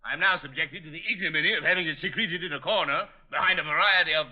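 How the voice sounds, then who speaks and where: An actor playing a pompous, irascible art collector with florid, imperious diction in an old-time radio detective drama. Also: male voice, a little high, fast talker, lightly acted. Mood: indignant. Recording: muffled old radio recording.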